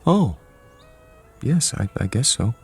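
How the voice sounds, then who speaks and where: An actor playing a timid, evasive grown son who speaks politely and hesitantly in an old television drama. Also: male voice, medium pitch, sing-song, slow pace, darkish tone, soft background music. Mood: apologetic, uneasy. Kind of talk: mid-century television drama acting.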